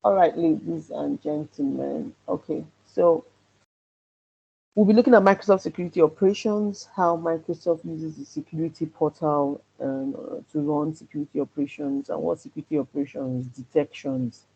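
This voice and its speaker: A man who says he has a loud voice teaches a security class on a video call, hesitating often with ums and restarts. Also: fairly high pitch, steady tone, normal speed, creaky, slightly rough. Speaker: security trainer. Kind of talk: online class presentation. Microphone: computer mic on a video call. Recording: dull lo-fi video call audio.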